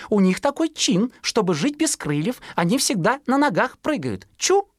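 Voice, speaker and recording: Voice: medium pitch, very animated, moderate pace, no echo, clean studio sound, voice pushed up. A narrator voicing an anxious, protective mother sparrow with fussy, chirping warnings in a children's storybook. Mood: explaining. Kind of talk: children's audio storybook character acting.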